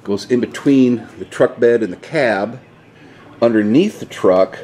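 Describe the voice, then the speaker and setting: A man's voice: medium pitch, a little slow, mellow. A man hosting a casual how-to vlog, explaining things step by step in an informal, mildly salty way, recorded on a handheld camera in a garage. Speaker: DIY video host. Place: inside a home garage, at a pickup truck. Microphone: handheld camera, held by the speaker.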